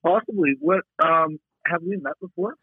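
A pastor answering a phone call with brief, cautious replies. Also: male voice, a little high, normal speed, no room sound. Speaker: pastor receiving a prank call. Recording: husky phone line.

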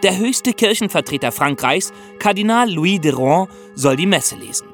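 Male podcast storyteller: lively, swooping intonation, higher-pitched voice, explains things straight to the audience. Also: moderate pace, soft background music. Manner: explanatory, addresses listeners directly. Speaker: podcast host. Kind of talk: scripted storytelling for a history podcast.

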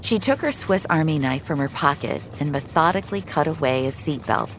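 A woman's voice: low-pitched, a little fast, slight background noise, low-quality recording. A woman giving a dramatic scripted narration of a fiction story.

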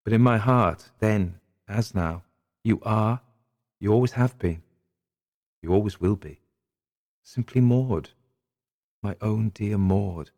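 A man voicing a gallant, earnest gentleman physician with courtly, emphatic period diction, as in a dramatic audiobook. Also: fairly low pitch, slow, long pauses, pitched above the performer's own voice. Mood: tender, ardent.

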